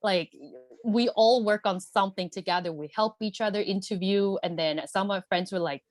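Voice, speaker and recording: A woman's voice: mid-range voice, moderate pace, no room sound. A woman, chatting earnestly as an interview guest, with lots of fillers and repeated words. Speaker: guest, MBA alumna. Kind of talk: casual interview chat.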